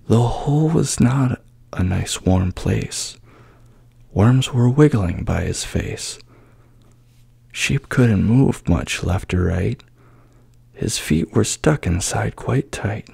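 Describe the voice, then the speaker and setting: Slow, hushed, breathy man reading softly. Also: fairly low pitch, no echo. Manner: hushed, gentle, often slipping into whispers. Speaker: ASMR host reading a bedtime story. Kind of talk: soft-spoken ASMR storybook reading.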